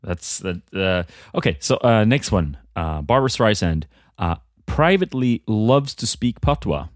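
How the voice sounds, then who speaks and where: A man's voice: on the low side, very animated, a little slow, no echo, clean studio sound. A man hosting a comedy podcast, asking questions with many hesitations and fillers. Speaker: podcast host.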